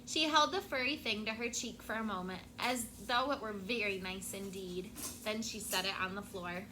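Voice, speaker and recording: A woman teacher reading a picture book aloud to her class, expressive and stopping to prompt the children. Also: medium pitch, normal speed, slight background noise, rough recording.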